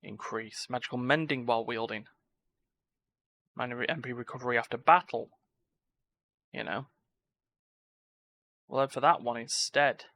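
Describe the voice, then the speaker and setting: A man's voice: medium pitch, normal speed, long pauses, bright, forceful tone, no echo. A man giving live video game commentary, full of playful exclamations and muttered asides. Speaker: game streamer. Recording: game music behind the voice. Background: video game music.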